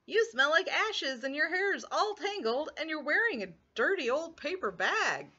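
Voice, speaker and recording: A woman's voice: high-pitched, sing-song, unhurried, sharp tone, lo-fi sound, pitched above the performer's own voice, full character voice. A woman voicing a vain young prince in a storybook, sniffy and condescending. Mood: snooty.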